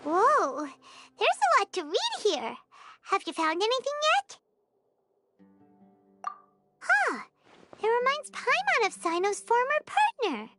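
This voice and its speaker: A woman voicing a tiny, chirpy, excitable fairy girl companion in a game cutscene, who talks about herself in the third person. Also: very high-pitched, slow, long pauses, faint music behind, full character voice. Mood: curious.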